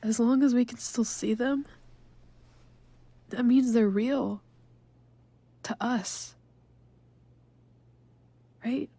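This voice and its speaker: An actress playing a dreamy, earnest teenage girl in a video game, speaking softly and reflectively in a breathy voice. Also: fairly high pitch, extremely slow, long pauses, no echo, exaggerated voice. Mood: tender, hopeful. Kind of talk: naturalistic video game acting.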